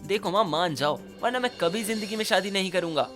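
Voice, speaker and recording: A woman's voice: mid-range voice, fairly quick, over music, natural voice. A woman voicing a determined, cheeky young man in a cartoon story, earnest and persuasive. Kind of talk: Hindi cartoon story voice acting.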